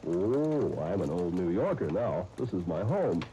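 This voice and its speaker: Genial, playfully grandiose young businessman, deep and soft-edged, old radio drama with light noise. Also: very animated, a little slow. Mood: jovial. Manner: jovial, mock-grand phrasing, chuckling. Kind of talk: 1930s radio drama acting. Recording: dull, noisy 1939 radio broadcast.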